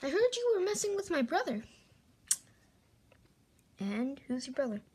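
A woman's voice: sits high, big pitch swings, normal speed, darkish tone. A woman voicing a tough schoolyard bully who protects his brother, blunt and threatening. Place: carpeted bedroom floor.

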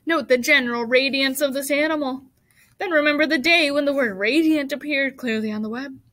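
A woman voicing a formal, booming male fairground announcer in a storybook, with a pompous, declamatory delivery. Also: brisk, a bit bright. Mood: grand, ceremonious. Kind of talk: storybook character read aloud.

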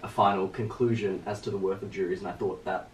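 A young man from Australia, a law student speaking in a relaxed, measured way in a casual interview, recorded by a distant camera. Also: sits low. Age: young adult. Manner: relaxed, measured, trails off quietly. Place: small room with bookshelves, indoors. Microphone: far camera. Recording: roomy sound from a distant camera, faint noise.

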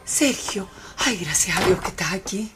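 An actress playing an anxious, devoted friend in a radio drama, emotive and worried, with a Chilean accent. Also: female voice, mid-range voice, lively intonation, moderate pace, over loud music, close to an everyday voice. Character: anxious, devoted female friend of a bewitched woman.

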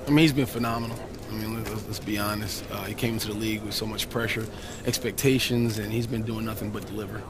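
An actor playing a cool, self-assured young American basketball player in a video game, speaking casually and matter-of-factly. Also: male voice, lowish voice, fairly even intonation, quick pace, noisy background, close to an everyday voice. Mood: relaxed, amused. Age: young adult. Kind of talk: naturalistic video game cutscene acting.

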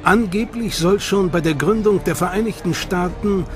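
A male narrator reads a documentary script with dramatic, suspenseful emphasis. His voice is notably high for a man. Clear music runs beneath his studio voice-over. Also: normal speed. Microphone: studio mic.

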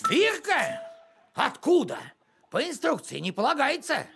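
A man's voice: very high-pitched, huge pitch swings, a little slow, vocal fry, music in the background, full character voice. An actor playing a fussy, self-important middle-aged village postman in a grumbling, pompous manner for a cartoon. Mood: grumpy, defensive. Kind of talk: animated cartoon acting.

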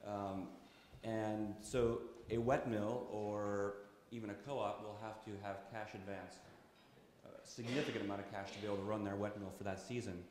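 A man speaking on a panel discussion, explaining at a measured pace with frequent fillers and restarts. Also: lowish voice, little pitch movement, a little mellow, slight background noise. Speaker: coffee trader on a panel. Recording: echoey conference hall.

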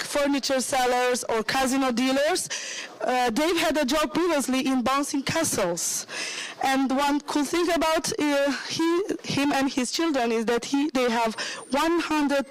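A woman's voice: high-pitched, unhurried. A woman introducing a speaker in a large lecture hall, casual and joking. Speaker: session host introducing a speaker.

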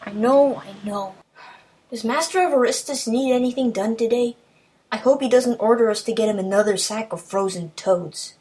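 A woman playing an eager, playful young boy apprentice in an audio drama, excitable and a little whiny. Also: a little high, moderate pace, natural voice. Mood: sulky. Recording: noisy amateur recording.

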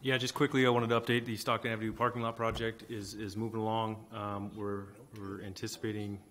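Staff member, male, flat and quick, informal update to a council. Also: fairly low pitch. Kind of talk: brief informal project update. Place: large council chamber.

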